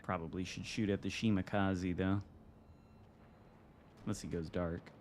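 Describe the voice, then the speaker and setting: A man from Michigan with an American accent, commenting casually while streaming a game from home, wry and relaxed. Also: low voice, a little slow, slight background noise. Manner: relaxed, wry, reacting to the game with mild swearing. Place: home room.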